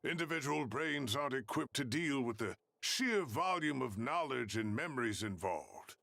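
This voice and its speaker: A man's voice: mid-range voice, expressive, moderate pace, gravelly, pushed and bright, no room sound. A man voicing an earnest, articulate scholar in a dramatic video game scene. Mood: grave, emphatic. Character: earnest adult male scholar who studies the ley lines.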